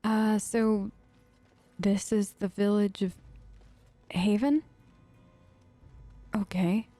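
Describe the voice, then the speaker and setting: A woman's voice: medium pitch, fairly even intonation, drawn-out words, warm tone, dry recording, faint music behind. A woman improvising in character for a video game let's play, chatty and hesitant, with an awkwardly polite manner.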